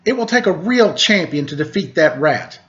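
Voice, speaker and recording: A man's voice: fairly high pitch, sing-song, normal speed, a bit bright. A man voicing a complaining, exclaiming feudal Japanese lord in a storybook tale. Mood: urgent, demanding. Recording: dull lo-fi recording.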